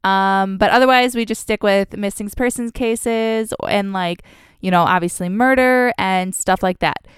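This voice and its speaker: A woman's voice: medium pitch, a little slow, clear, resonant tone, dry recording, clean studio sound. Chatty young woman hosting a podcast, congested. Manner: chatty, lots of "like" and "um" fillers. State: congested, sick with a cold. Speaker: podcast host. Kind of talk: casual true crime podcast narration.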